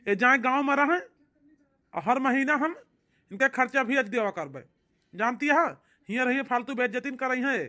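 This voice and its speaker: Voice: high voice, normal speed, pushed and bright. A performer voicing a disgruntled, complaining husband in an amateur cartoon, with an Awadhi accent and a grumbling, aggrieved manner. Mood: dismissive. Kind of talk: amateur cartoon comedy-drama acting.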